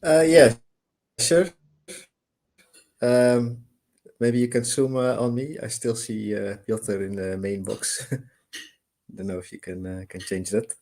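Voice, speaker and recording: A man's voice: medium pitch, steady tone, slow, pauses often, darkish tone. A man from the Netherlands, a freelancer, introducing himself on a video call with frequent fillers. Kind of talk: casual self-introduction.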